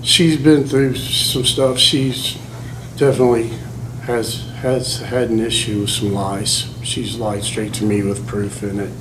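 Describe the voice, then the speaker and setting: A man talks haltingly to a court in a lowish, very even voice. His words are plain and unrehearsed. The recording is noisy. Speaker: fiance addressing the court. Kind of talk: unscripted plea to a judge. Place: courtroom podium.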